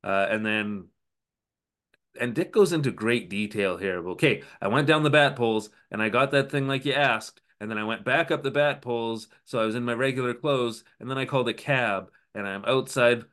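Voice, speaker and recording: A man's voice: mid-range voice, moderate pace, fairly bright tone. A man co-hosting a podcast, recapping an old TV episode in casual, sarcastic chat. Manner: sarcastic, recapping scenes with quoted asides. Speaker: podcast co-host.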